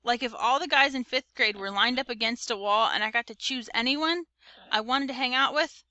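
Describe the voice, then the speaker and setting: A woman's voice: on the high side, normal speed, bright, forceful tone, dry recording, muffled recording. A woman voicing a good-natured fifth-grade boy who narrates a storybook in a casual, conversational way. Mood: sincere. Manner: casual, conversational, youthful slang.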